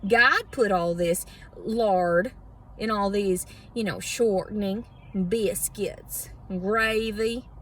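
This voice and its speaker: A woman's voice: mid-range voice, takes it slowly, natural voice. Woman doing a preachy Southern churchgoing lady, exaggerated drawl. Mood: gleeful, mock-pious. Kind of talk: satirical monologue. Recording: distant mic, clear background noise.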